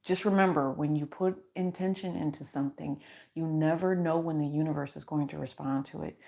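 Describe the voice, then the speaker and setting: A woman with a naturally low, deeper-set voice hosts a casual livestream card reading. She talks in a chatty, reassuring way, stringing affirmations together into her microphone. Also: fairly even intonation, a little fast, gravelly, mellow. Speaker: tarot reader and channel host.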